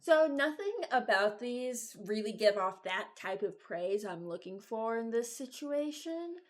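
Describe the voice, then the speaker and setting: A woman's voice: a little high, expressive, a little slow. A young adult woman chatting casually as a video creator, rambling and hesitant as she thinks aloud. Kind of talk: casual reaction chat.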